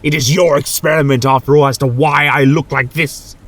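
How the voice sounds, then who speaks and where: Fantasy audio drama: man playing a bestial male vampire, raised pitch, husky, proud and grandiose, bent on revenge. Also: steady tone, fairly quick, a bit bright, lightly acted. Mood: defiant zeal. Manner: grandiose, declamatory.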